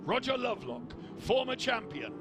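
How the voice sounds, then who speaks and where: A man giving live motorsport commentary, excitable and quick to lift his voice at each incident on track. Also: extremely high pitch, music in the background. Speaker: race commentator. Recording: loud engine noise behind. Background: racing engines.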